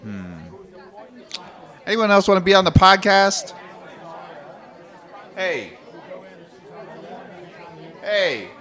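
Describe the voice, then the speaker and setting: A man's voice: high voice, sing-song, extremely slow, pauses often, pushed and bright, a faint crowd. A man co-hosting a live podcast, trading casual, joking banter in front of an audience. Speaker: podcast co-host.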